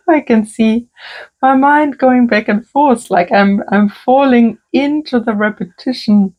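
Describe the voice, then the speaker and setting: A woman working as a creative therapist, talking gently and softly through a calm crafting tutorial. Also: fairly high pitch, muffled recording. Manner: gentle, soft-spoken, unhurried.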